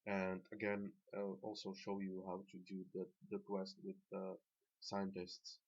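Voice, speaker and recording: A man with a very low, flat voice talks through a game guide, unscripted and correcting himself as he goes. English is not his first language. The recording sounds dull and lo-fi, like a cheap desk mic. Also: unhurried, slightly rough. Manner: unscripted, self-correcting, with fillers. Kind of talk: casual gameplay commentary.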